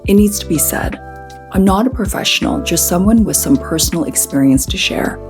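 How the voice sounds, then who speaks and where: A woman hosting a podcast in an earnest, gentle way, her voice a little hoarse from a cold. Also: fairly low pitch, lively intonation, a little fast, faint music behind. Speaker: podcast host. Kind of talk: podcast conversation and scripted intro.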